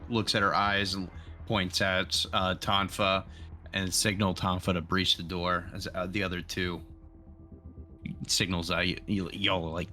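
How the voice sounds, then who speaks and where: Low, bright-voiced man playing a tabletop game. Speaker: tabletop roleplaying player. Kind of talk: casual game talk, describing character actions.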